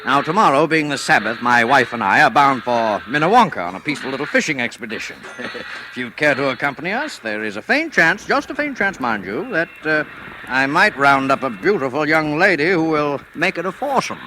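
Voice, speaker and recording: A man's voice: a little high, very animated, a little fast, background noise. An actor playing a chatty, sly, avuncular old man in 1940s radio style, hemming and chuckling with flowery phrases. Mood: sly, coaxing.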